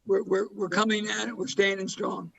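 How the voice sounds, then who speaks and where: A man's voice: high-pitched, flat delivery, moderate pace, gravelly, fairly bright tone, a little hiss or hum. A man presenting to a council meeting, speaking hesitantly with trailing fillers, heard over a muffled lo-fi line.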